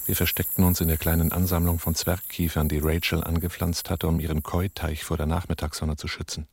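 An actor playing the subdued first-person narrator of a radio thriller, raspy and matter-of-fact. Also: male voice, deep voice, flat delivery, fairly quick, a little mellow, full character voice. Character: low-voiced male narrator of a night-time thriller.

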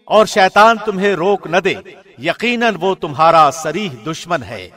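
Higher-pitched man formally reading scripture translation. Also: animated, fairly bright tone, faint music behind. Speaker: translation reader, radio host.

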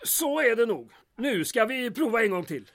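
A man's voice: very high-pitched, big pitch swings, fairly bright tone, heavily acted. A man acting a put-upon town mayor in an audio drama, with a dry, sardonic manner. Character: exasperated, sarcastic male town mayor doing plumbing.

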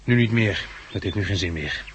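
A man acting a steady, reflective middle-aged husband and father in a 1960s radio drama, with a husky voice and a measured, earnest delivery. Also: sits low, a little hiss or hum. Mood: earnest, resolved.

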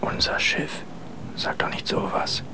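An actor playing a blunt, jittery young sailor speaking in hushed tones in a radio drama. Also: male voice, unhurried, whispered, lightly acted. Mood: uneasy, incredulous.

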